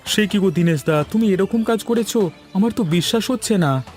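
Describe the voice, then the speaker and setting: A man's voice: sits high, fairly quick, darkish tone, soft background music, lightly acted. A man voicing a chatty, persuasive village matchmaker in a cartoon. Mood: surprised. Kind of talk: Bengali cartoon dialogue.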